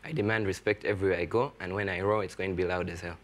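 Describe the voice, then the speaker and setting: Male contestant, very low pitch, quick delivery, boastful swagger. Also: steady tone. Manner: boastful, bold.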